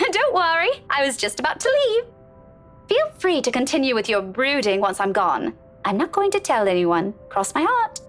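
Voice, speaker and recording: A woman's voice: sits high, big pitch swings, moderate pace, fairly bright tone, soft background music. A woman voicing a blunt, teasing friend in a visual novel game, exasperated but caring. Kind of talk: dramatic visual novel voice acting.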